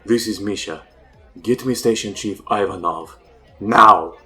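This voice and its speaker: A man's voice: lowish voice, measured pace, pushed and bright, faint music behind, some chatter behind. A man playing an irritable KGB agent in a comic dub, curt and barking. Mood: angry, urgent.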